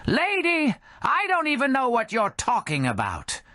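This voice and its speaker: A man's voice: very high voice, huge pitch swings, unhurried, sharp tone, no echo, studio quality, pitched above the performer's own voice, full character voice. A man voicing a cranky, confused old skeleton bartender who rambles like a folksy old-timer in a comic storybook tale. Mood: irritated.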